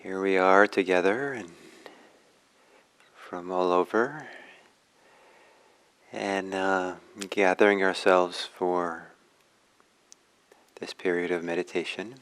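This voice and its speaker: A man giving a guided meditation talk as a teacher, reflective and halting, with frequent pauses. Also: low-pitched, steady tone, a bit bright, clear, resonant tone. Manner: halting, reflective, pausing often.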